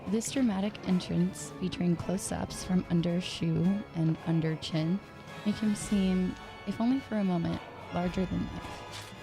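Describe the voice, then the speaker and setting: A woman reading a scripted analytical video essay in a measured, formal way. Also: lowish voice, music in the background.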